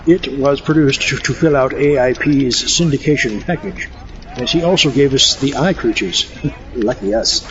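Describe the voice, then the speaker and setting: A man's voice: on the high side, dull audio, a little hiss or hum, natural voice. A man playing a theatrical mad-scientist doctor, pompous and wry, lecturing wordily in a comic audio drama. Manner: pompous, wry, wordy, mock-erudite. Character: theatrical mad-scientist doctor, fussy and haughty film buff.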